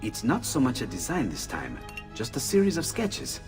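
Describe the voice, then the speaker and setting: An actor playing an eccentric, excitable Renaissance inventor with an Italian accent, exclaiming and musing in a game cutscene. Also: male voice, medium pitch, normal speed. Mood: excited, musing. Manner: exclamatory and musing, swinging from delight to muttering. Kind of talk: video game cutscene acting. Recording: long reverb under loud music.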